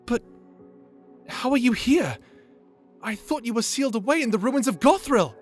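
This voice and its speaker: A performer playing an insecure, emotional young male wizard, earnest and halting, in a fantasy audio roleplay. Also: medium pitch, animated, soft background music, natural voice. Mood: wary, startled. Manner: halting, stammering, pleading.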